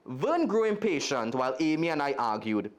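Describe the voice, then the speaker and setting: Male storyteller, Trinidadian accent, very lively pitch, expressive, talks straight to young listeners. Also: normal speed. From Trinidad and Tobago. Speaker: guest storyteller. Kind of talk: animated read-aloud of a children's story.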